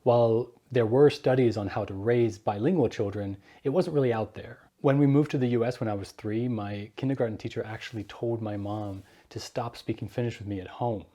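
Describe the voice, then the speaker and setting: Young man, from Germany, mellow voice, earnest advice vlog, explanatory. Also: medium pitch, a little fast, few pauses. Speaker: vlogger.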